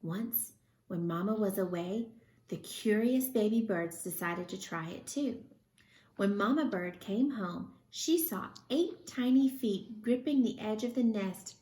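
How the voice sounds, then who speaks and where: A woman reads a children's story aloud. Her narration is expressive and takes its time, with slow phrasing. The sound is roomy and echoing. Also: medium pitch. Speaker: storybook reader.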